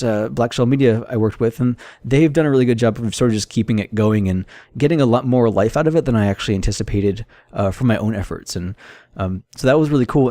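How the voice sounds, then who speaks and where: A man's voice: medium pitch, mellow, no room sound. A man giving a casual, unscripted monologue of advice as a game developer, conversational and thinking aloud. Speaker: solo indie game developer hosting an audio log.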